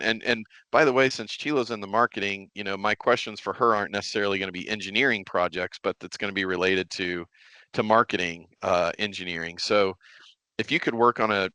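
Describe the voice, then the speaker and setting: Man, podcast host, casual interviewing, smooth clear tone, dry close recording. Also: low-pitched, pushed and bright, no echo.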